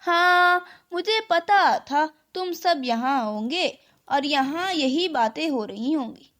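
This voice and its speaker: A woman's voice: high-pitched, lively intonation, slow pace, a bit bright, full character voice. A woman voicing a kindly, knowing old village grandfather in a children's cartoon story. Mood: amused. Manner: good-humoured, knowing.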